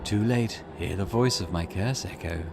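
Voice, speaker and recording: A man's voice: sits low, normal speed, gravelly, over music. A man voicing a desperate, menacing curse bearer in a visual novel. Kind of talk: visual novel dialogue read aloud.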